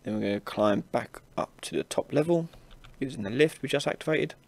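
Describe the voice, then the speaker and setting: A man hosting an informal software tutorial, casually explaining each step as he demonstrates it on a close mic. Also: mid-range voice, lively intonation, brisk. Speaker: tutorial host. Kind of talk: informal screen-recorded software tutorial narration.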